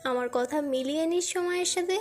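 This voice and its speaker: A woman's voice: very high-pitched, fast, darkish tone. A woman playing a caring young college friend, earnest and reproachful, in an audio love-story drama. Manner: earnest, admonishing.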